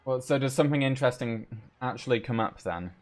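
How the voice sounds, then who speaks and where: A man's voice: mid-range voice, fast. A man giving casual live gameplay commentary as a streamer, with dry, offhand reactions. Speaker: game streamer. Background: game music.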